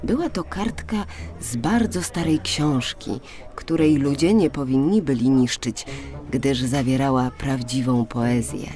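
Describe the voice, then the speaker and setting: A woman narrating a fairy tale for children in an expressive, deliberate storytelling manner, as in an audiobook. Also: extremely low pitch, faint music behind.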